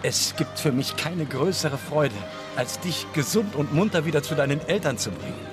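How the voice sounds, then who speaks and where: An actor in a radio play playing a kind-hearted, reasonable travelling merchant who speaks firmly and benevolently. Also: male voice, a little high, sing-song, normal speed, over music. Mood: joyful, benevolent.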